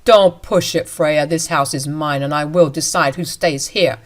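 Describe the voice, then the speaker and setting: A woman reading a story aloud, voicing an angry, entitled husband who barks orders in a loud, demanding way. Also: sits low, a little slow, voice pushed down.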